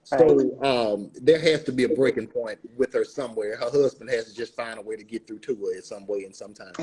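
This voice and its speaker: A man answering as an interview guest in a measured, thoughtful, conversational way. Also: mid-range voice, a little mellow, husky, poor audio. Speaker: guest, stage actor.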